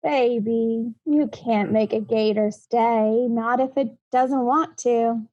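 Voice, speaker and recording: Woman playing a high-voiced, brisk mom. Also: steady tone, mellow, no echo, dull audio. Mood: firm. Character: practical, brisk Southern mother. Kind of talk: audio drama acting.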